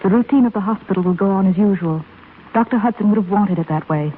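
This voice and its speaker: A woman's voice: mid-range voice, quick pace, close to an everyday voice. A woman playing a composed, authoritative head nurse in a 1930s radio drama. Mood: composed, grave. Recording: tinny, hissy 1930s radio broadcast.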